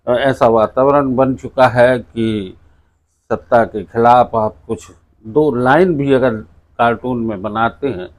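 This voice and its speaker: A man with a medium-pitched voice conducts an interview. His style is conversational, and he comments at some length as he builds toward his questions. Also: takes it slowly.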